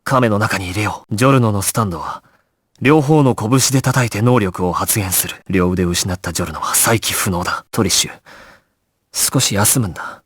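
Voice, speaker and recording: An actor playing a calm, commanding young gangster squad leader in an anime video game, grave and decisive, giving clipped orders. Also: male voice, fairly low pitch, moderate pace, slightly rough, dry recording, clean studio sound. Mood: grim, concerned.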